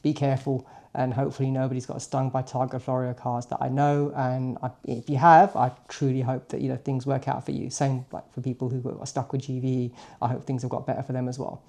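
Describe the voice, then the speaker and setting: Mellow-voiced middle-aged man, native Punjabi speaker. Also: mid-range voice, little pitch movement, a little fast, vocal fry, hardly any pauses. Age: late 40s. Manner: chatty, informal, often ending points with a questioning "right?". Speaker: car vlogger. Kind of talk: casual daily vlog monologue to viewers.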